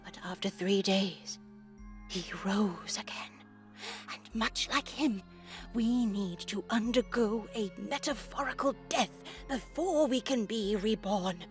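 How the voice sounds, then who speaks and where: An older nun played by a woman preaches with formal, unhurried diction. She is grandly pious and a little too zealous, like a horror game's holy matron. Faint music plays behind her. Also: medium pitch, natural voice. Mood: fervent. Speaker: voice actor in a visual novel. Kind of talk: dramatic video game acting.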